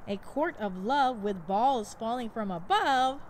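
A woman's voice: on the high side, animated, a little slow. A woman with a sing-song, encouraging delivery, chatting playfully and reading aloud for a kids' vlog. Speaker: co-host filming a child's scavenger hunt. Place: outdoors at a playground and yard. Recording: noisy handheld outdoor recording.

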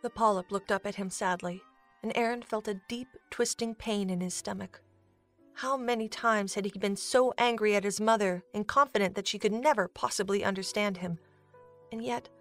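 A woman's voice: mid-range voice, vocal fry, fairly bright tone. A woman narrating a scripted dramatic story as the narrator of an audio drama. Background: music.

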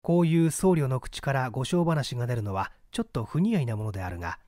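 Male audiobook narrator for classic mystery. Mid-pitched, very animated intonation, dry close recording. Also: quick pace, no room sound. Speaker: audiobook reader and stage actor. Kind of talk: dramatic scripted literary narration.

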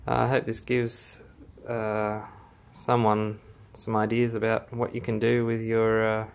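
A man gives a casual, halting walkthrough of his small basement home theater, filming on a handheld phone as he walks. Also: fairly low pitch, completely flat delivery, gravelly, clean tone. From Australia. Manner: unhurried, halting with many fillers. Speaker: home theater owner giving a room tour. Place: small basement room, indoors. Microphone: handheld phone camera, filming while walking.

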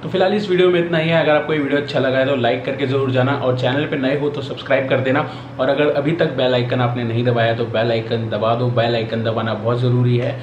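A man's voice: medium pitch, steady tone, fast, few pauses, lo-fi sound. A young man from India talking casually to the camera in a small bedroom, a travel vlogger who repeats his points and explains as he goes. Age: young adult. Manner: chatty, repeats his points, explains while packing gear. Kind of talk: casual vlog talk to camera. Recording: echoey room with music behind.

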